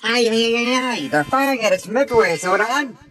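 A man voicing a small, anxious, excitable and loyal robot sidekick in an audio drama, with a fretful, eager chatter. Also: very high-pitched, brisk, voice pushed up. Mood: frantic, alarmed.

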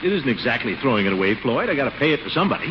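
An actor playing a pompous, blustery middle-aged commissioner who is easily exasperated, in 1940s radio sitcom style. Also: male voice, mid-range voice, big pitch swings, racing, lightly acted. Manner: pompous, blustering, chuckling, exclamatory. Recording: noisy, muffled 1940s radio broadcast recording.

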